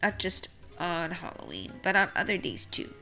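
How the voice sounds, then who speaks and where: A woman's voice: lowish voice, big pitch swings, normal speed, gravelly, bright, forceful tone, soft background music, voice pushed down, exaggerated voice. A woman voicing a grumpy, anxious male seed in a theatrical, announcing way for a children's storybook. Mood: resolved. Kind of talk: children's picture book read-aloud.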